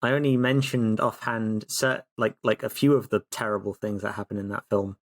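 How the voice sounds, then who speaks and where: A man's voice: on the low side, steady tone, normal speed. Playful, theatrical man on a gaming podcast. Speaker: player on a tabletop role-playing podcast. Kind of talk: casual chat and recapping.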